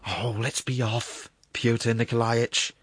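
A man's voice: lowish voice, moderate pace, fairly bright tone, breathy edge, no room sound. A man voicing a sour, wistful and impatient assistant prosecutor in a story reading, with a sighing, moaning way of talking.

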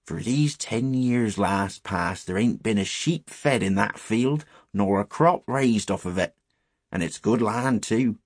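A man performs an old English shepherd from a storybook. He speaks with a rural accent in an emphatic, grave way, full of caution. The sound is dry and slightly husky. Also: fairly low pitch, unhurried, no room sound. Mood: grave warning. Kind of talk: storybook character voice.

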